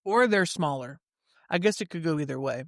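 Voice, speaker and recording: A man's voice: fairly high pitch, animated, fairly quick, gravelly, no echo, studio quality. A man co-hosting a podcast, telling rambling stories in casual chat. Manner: rambling anecdotes with fillers. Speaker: podcast co-host.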